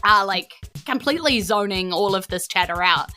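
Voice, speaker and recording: Bright-voiced New Zealand woman game master. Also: medium pitch, expressive, normal speed, soft background music. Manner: chatty, informal. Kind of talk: casual improvised tabletop game narration.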